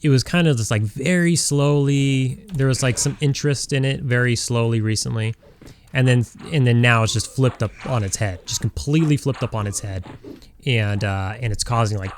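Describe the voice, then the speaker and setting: A man's voice: mid-range voice, slight background noise. A man in his forties from Southern California, chatting casually and rambling with lots of fillers while he plays a game. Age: 40s. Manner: rambling, many fillers and restarts. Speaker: gaming streamer.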